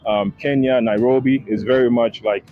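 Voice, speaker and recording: A man's voice: medium pitch, steady tone, normal speed. A young man from Haiti, a travel content creator, chatting casually as an interview guest with lots of fillers and repeated words. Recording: dull lo-fi sound, faint noise.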